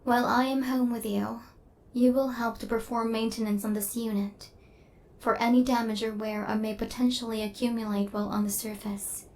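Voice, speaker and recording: A woman voicing a coldly logical but tenderly possessive female killer robot in an ASMR roleplay, with formal, deadpan, machine-like phrasing. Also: fairly high pitch, moderate pace, lightly acted, rough recording. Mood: matter-of-fact, caring.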